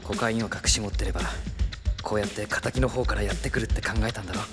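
An actor playing a calm, earnest young man in an audio drama, soft-spoken and understanding. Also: lowish voice, fast talker, over loud music, lightly acted. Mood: gentle. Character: calm, gentle, earnest young male cop. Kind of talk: anime audio drama acting.

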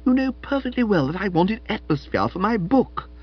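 A man's voice: sits high, very animated, normal speed, husky, no room sound, pitched above the performer's own voice, exaggerated voice. Casting: imperious young modern woman done by a male reader, snappish and stiff, very swoopy intonation, slightly dull sound. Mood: haughty, indignant. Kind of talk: comic audiobook character acting.

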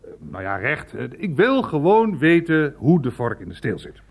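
An actor playing a blunt, nosy older uncle in a radio play, with an insistent, wry, colloquial way of talking. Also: male voice, a little high, wildly swooping pitch, moderate pace, full character voice.

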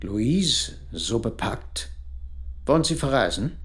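An actor playing a polite, evasive small-town hatter in a radio drama, formal and hesitant. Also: male voice, mid-range voice, sing-song, slow pace, roomy sound, faint music behind.

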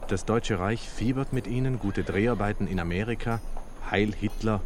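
An actor playing an enthusiastic filmmaker, telling stories and reading aloud in a German radio play. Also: male voice, lowish voice, normal speed, a little hiss or hum, natural voice. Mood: declaiming. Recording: music and outdoor sounds behind voice.

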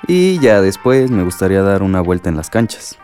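A man's voice: sits low, big pitch swings, fairly quick, dark tone, soft background music. An actor playing an independent-minded young Mexican law graduate in a radio soap, informal yet respectful with his elders. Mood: self-assured. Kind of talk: radio soap opera dialogue.

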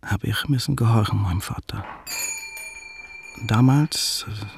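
An actor playing an obedient butcher's son, recalling his past haltingly in a radio drama monologue. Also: background noise. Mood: hesitant, reflective. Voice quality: husky, gravelly. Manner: halting, low-key reminiscing with inverted word order.

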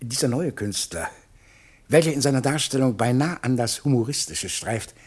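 An actor playing a pompous, long-winded scholar who lectures in florid style in a radio play, with a husky voice. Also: male voice, mid-range voice, animated, moderate pace, darkish tone. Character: pompous, long-winded male scholar showing off paintings to a prince. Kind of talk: German radio play acting.

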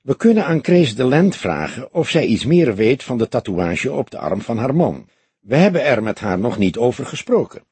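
Audiobook role: male reader as older police detective, very husky, grave, deliberate, dry wit, close dry sound. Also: mid-range voice, sing-song, darkish tone, no room sound, exaggerated voice. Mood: pensive. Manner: deliberate, explanatory, dryly humorous. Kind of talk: audiobook character dialogue.

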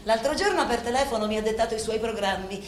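A proud, darkly tender Sicilian woman of a crime clan, played by an actress, speaks a stage monologue in a fairly high voice. Her phrases are deliberate and broken by dramatic pauses. The room is echoey, with faint noise behind her. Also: lightly acted. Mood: proud, wistful. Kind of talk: theatrical monologue in Italian mixed with Sicilian dialect.

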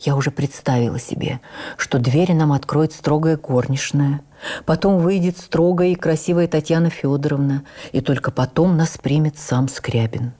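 An actress playing a stage actress who recalls her youth with admiring wonder, in measured, literary, expressive radio narration. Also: sits low. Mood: reflective, admiring. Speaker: actress reading a memoir on radio. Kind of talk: dramatic first-person memoir narration for radio.

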